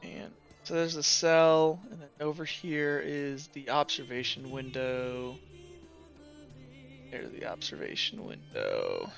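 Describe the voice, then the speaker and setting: A man narrating a tabletop roleplaying game as game master, improvising scenes in halting, loose phrasing. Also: medium pitch, very animated, vocal fry, soft background music.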